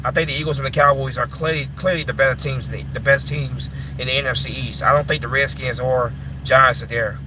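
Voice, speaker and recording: Man in his twenties, casual live chat, stumbling restarts, middle pitch, noisy with traffic behind. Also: steady tone, brisk, hardly any pauses. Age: 20s. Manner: repeats and restarts words, calls listeners "man". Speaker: live stream host answering chat.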